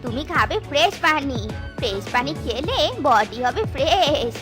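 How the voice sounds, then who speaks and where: A woman's voice: high voice, expressive, unhurried, over music. A woman voicing a doting but spiteful older vixen in a cartoon, coaxing and melodramatic. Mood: warning. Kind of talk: exaggerated cartoon voice acting.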